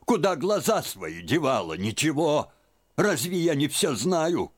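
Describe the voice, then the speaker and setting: A man voicing an excitable elderly aunt who frets and scolds in a raised voice. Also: a little slow. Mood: indignant. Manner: shrill, fretful, scolding. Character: fussy excitable old aunt, elderly woman.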